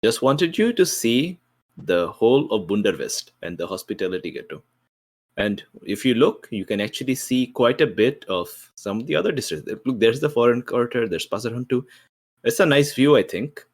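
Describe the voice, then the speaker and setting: A man chatting casually as a player in a tabletop roleplaying game, halting as he works out his plans. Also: mid-range voice, very animated.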